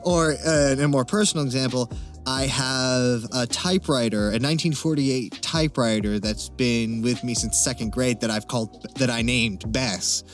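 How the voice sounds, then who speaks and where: A man's voice: mid-range voice, moderate pace, soft background music. A man chatting casually as a Q&A guest, talking in a hesitant, wry and self-deprecating way. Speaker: interview guest, amateur voice actor. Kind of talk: casual Q&A chat.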